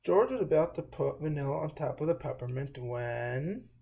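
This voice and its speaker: A man reads a children's storybook aloud as host. His voice is mellow and fairly high for a man, with frequent creak, and he reads in a slightly halting way. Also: expressive.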